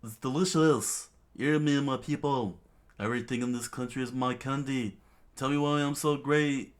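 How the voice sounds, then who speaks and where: A man voicing a vain, gluttonous tyrant king in a pompous, shouting manner for a story. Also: medium pitch, steady tone, moderate pace, pitched above the performer's own voice, lightly acted. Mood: gloating.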